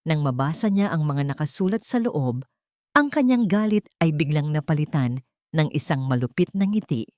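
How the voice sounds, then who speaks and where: Female audiobook narrator, Filipino accent, lowish pitch, dramatic scripted storytelling, dry studio-like sound. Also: lively intonation, normal speed, a little mellow, dry recording.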